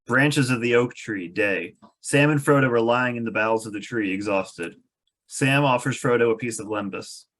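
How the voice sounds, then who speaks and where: A man's voice: mid-range voice, moderate pace, a bit bright. A man reading stage directions as the narrator of a scripted table read.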